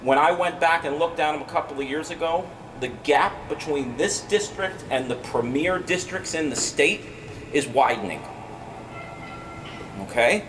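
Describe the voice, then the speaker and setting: Parent at public comment, emphatic, distant stand mic in an echoey room. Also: male voice, on the high side, normal speed. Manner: emphatic, keeps asking "right?". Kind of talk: public comment at a school board meeting. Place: large school meeting room. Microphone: stand mic a few feet away. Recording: roomy, distant, noisy room sound.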